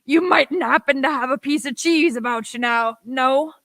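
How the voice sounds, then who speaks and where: A woman playing a half-mad, excitable marooned old sailor man with rustic pirate speech in a radio play. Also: high voice, normal speed, no room sound. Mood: excited, pleading. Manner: rustic pirate speech, exclaiming, repeating himself.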